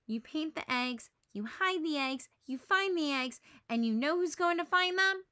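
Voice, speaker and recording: A woman's voice: sits high, animated, a little slow, sharp tone, no echo, studio quality, pitched above the performer's own voice, heavily acted. A woman voicing a bossy, crabby little girl in a storybook, self-important and scoffing.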